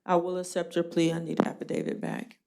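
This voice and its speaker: A woman judge running a jail court hearing, brisk and plainspoken with a dry joking streak. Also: fairly low pitch, completely flat delivery, creaky, warm tone.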